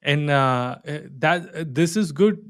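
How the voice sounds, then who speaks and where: A man's voice: on the high side, expressive, measured pace, a bit bright, smooth. A man from India hosting an interview, reading a sponsor intro and then chatting casually with lots of fillers and restarts. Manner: casual, frequent fillers and restarts, laughs often. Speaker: host.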